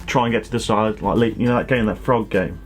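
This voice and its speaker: A man's voice: on the low side, fast, faint music behind. A man with a British accent co-hosting in casual comic banter. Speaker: co-host.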